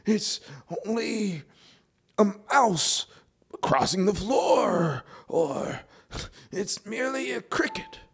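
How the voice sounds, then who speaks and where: A frightened old man in a horror tale. His voice is pitched far up, quavering and theatrical, as he anxiously tries to soothe himself. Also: lively intonation, slow, gravelly, husky, full character voice. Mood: nervous, self-soothing.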